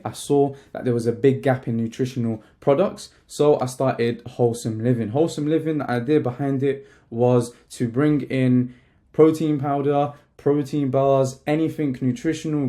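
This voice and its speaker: Mellow-voiced young man, emphatic vlog talk. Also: medium pitch. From Palestine. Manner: casual, emphatic, fast-talking with slang. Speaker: online business coach. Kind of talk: talking-head vlog to camera.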